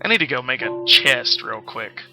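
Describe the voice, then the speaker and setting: A man's voice: mid-range voice, expressive, moderate pace, gravelly. A man telling stories casually on a game stream, rambling absent-mindedly and often losing his thread. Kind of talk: casual live-stream storytelling while gaming. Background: background music.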